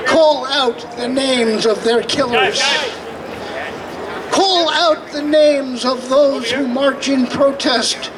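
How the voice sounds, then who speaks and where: A man's voice: very high-pitched, distant mic, low-quality recording. Male poet declaiming outdoors. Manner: measured, declamatory recitation. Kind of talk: spoken-word poetry reading. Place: outdoor urban park rally. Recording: crowd and street noise, off-mic.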